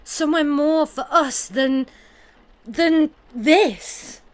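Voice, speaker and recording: An actress playing a worried young mother who speaks in an anxious, pleading, hushed way in an audio drama. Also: high voice, drawn-out words.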